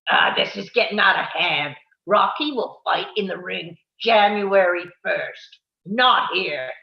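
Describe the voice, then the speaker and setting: Protective old male boxing trainer, gravelly, read by an actor, dull roomy recording. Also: medium pitch, lively intonation, takes it slowly. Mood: urgent. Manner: blunt, pleading. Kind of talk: live online table read.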